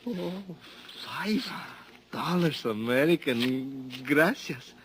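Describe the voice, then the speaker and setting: An actor playing a sly Mexican hotel bellboy who is eager for tips, in a 1950s radio drama. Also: male voice, medium pitch, expressive, takes it slowly, a little hiss or hum.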